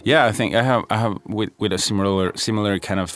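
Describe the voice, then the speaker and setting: A man's voice: fairly low pitch, fast talker, fairly bright tone. A man from Estonia, a music producer, answering interview questions in a thoughtful, hesitant way with many fillers. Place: podcast studio.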